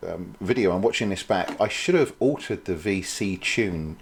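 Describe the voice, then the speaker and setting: A man's voice: sits low, animated, moderate pace, a little hiss or hum. A man presenting an informal product demonstration, explaining things in a hesitant, thinking-aloud way.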